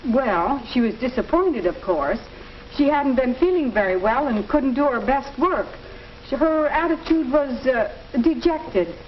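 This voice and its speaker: A woman's voice: sits high, animated, unhurried. A woman playing a concerned, polite household woman in a 1940s instructional film, speaking carefully and a little hesitantly. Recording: muffled, hissy 1940s film soundtrack.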